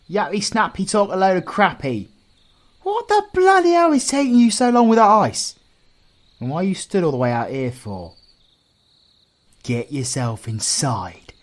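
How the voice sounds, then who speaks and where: Audio roleplay casting: man acting as crude, cocky British villain, mid-pitched and highly animated, with bossy, teasing slang. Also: natural voice. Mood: brash, exasperated. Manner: brash, slangy, mocking, flirtatious.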